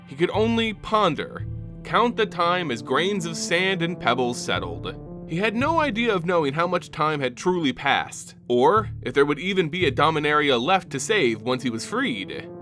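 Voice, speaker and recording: A man narrating a scripted fantasy story dramatically, with theatrical, deliberate phrasing. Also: fairly high pitch, very animated, a bit bright, soft background music. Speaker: channel host and narrator.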